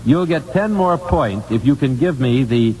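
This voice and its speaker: 1950s radio quiz host in a reverberant hall. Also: male voice, mid-range voice, lively intonation, normal speed, darkish tone. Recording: old radio broadcast, long reverb, faint noise.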